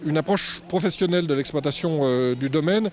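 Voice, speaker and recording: A man giving formal, measured interview answers as a manager. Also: a little high. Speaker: ski area manager.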